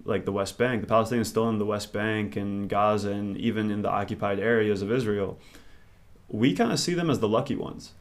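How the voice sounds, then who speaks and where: Guy rambling thoughtfully in an interview, kinda low voice. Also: fairly quick. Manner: rambling and reflective, thinks aloud. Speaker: interview guest. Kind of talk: casual interview conversation.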